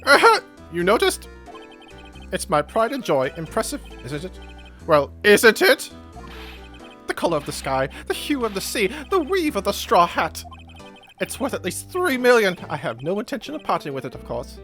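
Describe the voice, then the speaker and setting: Game character: boastful, theatrical male tycoon improvised by a man, very lively melodic swings, pompous and dismissive. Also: high-pitched, moderate pace, soft background music, heavily acted. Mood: smug, proud. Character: boastful art-loving businessman, smug, theatrical, dismissive.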